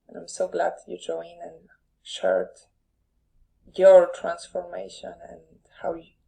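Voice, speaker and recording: A woman's voice: on the low side, little pitch movement, slow pace, vocal fry, mellow, poor audio. A woman with a Polish accent hosting a live online audio event, chatty and thanking listeners by name. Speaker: podcast host.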